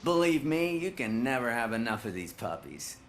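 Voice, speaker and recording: Cocky, animated tattoo artist. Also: male voice, medium pitch, moderate pace, slight background noise. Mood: cocky, dismissive. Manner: slangy, dismissive. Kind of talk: video game cutscene acting.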